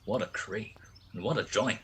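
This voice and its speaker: A man's voice: fairly low pitch, moderate pace, a bit bright, a little hiss or hum, lightly acted. A man playing a hard-boiled private detective in a noir radio play, sardonic and world-weary. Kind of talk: 1940s noir radio narration and dialogue.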